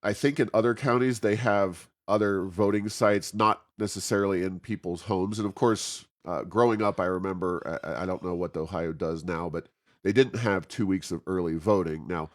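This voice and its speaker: An American man hosting a conversational interview, speaking haltingly as he searches for his words. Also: sits low, fairly even intonation. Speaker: podcast host and think tank president.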